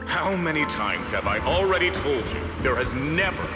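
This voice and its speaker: A man's voice: fairly high pitch, very animated, normal speed. An actor playing a brusque, exasperated male official in a video game drama. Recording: echoey reverberant room with music.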